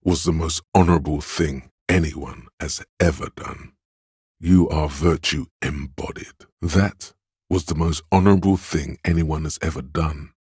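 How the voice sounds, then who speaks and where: A commanding inner voice of authority for a video game, extremely deep in pitch and very smooth. The delivery is haughty, pompous and measured, like a stern narrator who expects to be obeyed. The recording is close and dry. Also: male voice, fairly even intonation, darkish tone, dry recording, full character voice. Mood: solemn.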